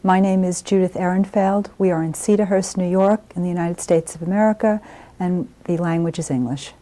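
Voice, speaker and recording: A woman conducts a formal interview and asks her questions slowly and carefully. Her voice is low-pitched. Its tone is dark and warm. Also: steady tone. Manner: slow, measured and formal. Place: home interview.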